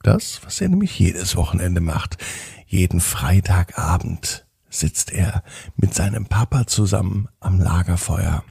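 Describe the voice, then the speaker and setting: A man narrating a bedtime story for children in a gentle, unhurried way with dramatic pauses. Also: low voice, expressive, vocal fry, breathy edge, no echo, studio quality.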